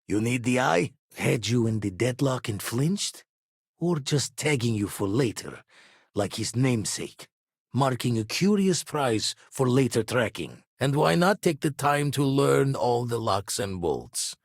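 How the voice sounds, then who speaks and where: An actor playing an older retired space pirate turned astronomer in a video game, wry and reflective, with clipped, folksy phrasing and a husky voice. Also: male voice, medium pitch, sing-song, a little slow, no room sound, clean studio sound, heavily acted. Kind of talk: video game character voice acting.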